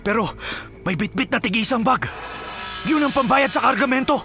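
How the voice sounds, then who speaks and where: A man playing a steady, authoritative police captain with terse delivery in an action drama. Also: very high voice, moderate pace, full character voice. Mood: tense, focused. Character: steady, commanding police captain leading an operation. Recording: music under the voice.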